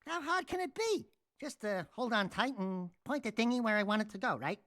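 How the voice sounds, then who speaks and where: High-pitched, cocky critter sidekick. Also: male voice, expressive, a little fast, fairly bright tone. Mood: nervous bravado. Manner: motormouth, brash, hammy. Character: cocky, wisecracking small furry male animal sidekick. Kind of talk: video game cutscene acting.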